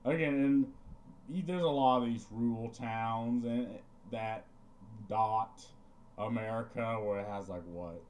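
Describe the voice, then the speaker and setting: Brief: male streamer, halting rambling commentary, middle pitch. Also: a little mellow. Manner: rambling, halting, repeats himself. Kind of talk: live reaction commentary.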